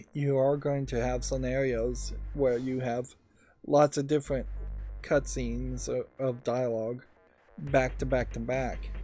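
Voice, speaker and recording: A man hosting a let's play, giving unscripted gameplay commentary in a halting, thinking-aloud manner. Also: mid-range voice, warm tone. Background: faint game music.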